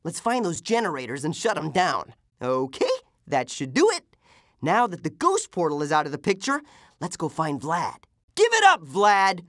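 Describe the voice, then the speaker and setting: A man's voice: fairly high pitch, big pitch swings, moderate pace, fairly bright tone, dry recording, clean studio sound. A man voicing a plucky, wisecracking teenage half-ghost boy superhero with a youthful voice for a video game. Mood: determined, then defiant. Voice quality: youthful, boyish. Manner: exclamatory, wisecracking. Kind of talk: video game character voice clips.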